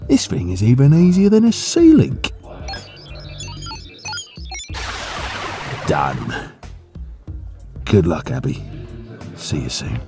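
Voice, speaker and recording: Audio drama role: reluctant young tinkerer, anxious and stammering, extremely animated intonation, over a music bed. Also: male voice, on the low side, unhurried, full character voice. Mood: amazed, relieved. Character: nervous, reluctant young male sidekick and tinkerer. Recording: music under the voice.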